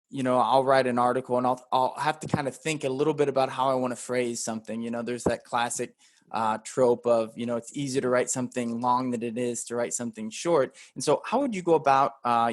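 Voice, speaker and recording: A man's voice: mid-range voice, dry recording, studio quality. A man hosting a podcast, asking long, winding questions full of fillers like "um" and "you know.". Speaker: podcast host. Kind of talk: interview questions.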